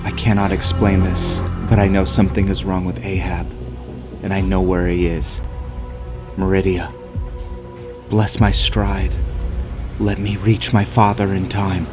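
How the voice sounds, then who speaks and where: A man's voice: low voice, level tone, unhurried, pauses often. A man voicing an earnest, devout young paladin in a solemn, urgent and dramatic manner. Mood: worried, resolute. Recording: loud music under the voice.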